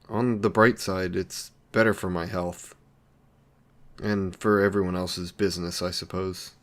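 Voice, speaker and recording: A man's voice: fairly low pitch, natural voice. A man playing an awkward, lonely, considerate villain in an intimate roleplay drama, speaking in a hesitant, apologetic, polite way. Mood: wistful, sheepish.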